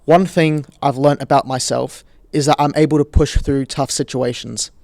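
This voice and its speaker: A young man in his late teens reads a reflection in a composed, measured way. His pitch sits in the middle and the sound is dry and close. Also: fairly even intonation, creaky, darkish tone, no echo. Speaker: student leaving high school. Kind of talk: reading a prepared reflection.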